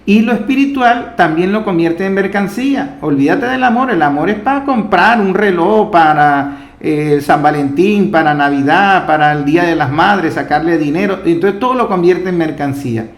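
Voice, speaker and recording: A man's voice: high-pitched, moderate pace, few pauses. A man hosting a radio talk, explaining reflectively and building emphatic lists. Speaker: radio host and interviewer. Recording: echoey room sound.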